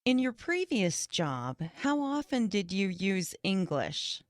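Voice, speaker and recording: A woman playing an interviewer in a scripted practice interview for English learners, reading questions clearly. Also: medium pitch, very animated, slow pace, no echo, studio quality.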